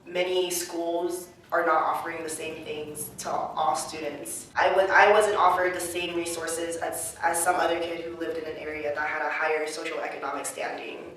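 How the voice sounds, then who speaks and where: A woman's voice: deep voice, flat delivery, normal speed, hardly any pauses, a bit bright, slight background noise. A young adult woman, a college student whose first language is Chinese, answering interview questions in a reflective, casual way with many "like" fillers. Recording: echoey room, mic far off.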